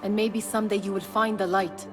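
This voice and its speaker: A woman's voice: mid-range voice, fairly even intonation, a little fast, over music, lightly acted. An actress playing an earnest, challenging young woman in a video game, probing and intense. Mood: solemn, insistent. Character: insistent, challenging young woman, old friend of an assassin. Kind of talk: video game cutscene acting.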